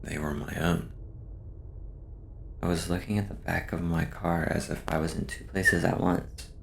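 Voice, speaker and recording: A man narrates a horror story in a very low voice thick with vocal fry. He takes his time, keeping the delivery subdued and measured, with little melodic movement. Faint noise sits behind the voice. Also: fairly even intonation. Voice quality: deep, gravelly. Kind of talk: scripted first-person horror narration.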